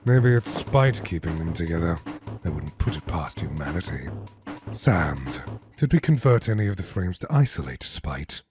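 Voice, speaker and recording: Male actor as obsessive skeleton scientist, deep, highly animated, precise formal diction, clear music behind, comic dub. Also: moderate pace. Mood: brooding. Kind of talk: dramatic comic-dub voice acting.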